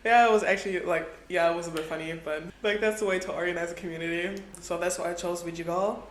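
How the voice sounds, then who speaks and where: A man's voice: high voice, steady tone, fast, a bit bright, a little hiss or hum. A man in his twenties chatting casually in a travel vlog, filling his talk with "like". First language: Portuguese is his second language. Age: 20s. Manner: chatty, heavy use of "like". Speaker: travel vlogger. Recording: echoey room with faint ambience.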